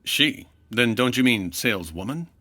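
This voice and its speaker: An actor playing a suave, wisecracking 1950s insurance investigator in old-time radio detective style, with a dry manner. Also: male voice, medium pitch, expressive, normal speed, bright, forceful tone. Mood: wry.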